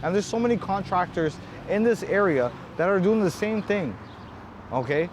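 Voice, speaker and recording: A man's voice: high voice, lively intonation, normal speed, slight background noise. An agitated man with an Ontario Canadian accent, venting in a repetitive, frustrated way in a street interview. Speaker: painting contractor interviewed on the street.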